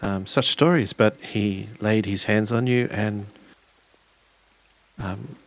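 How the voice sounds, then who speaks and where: A man playing an earnest, formal samurai in a tabletop role-playing game, speaking in measured, halting phrases. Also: on the low side, steady tone, voice pushed down, rough recording. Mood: earnest, wondering.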